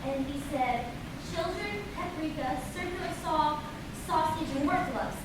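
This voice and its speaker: A woman's voice: high voice, measured pace. A woman playing an eager, imaginative young schoolgirl on stage, rambling earnestly through her wonderings. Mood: conspiratorial, excited. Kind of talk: amateur stage play acting. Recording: very echoey distant stage, noisy.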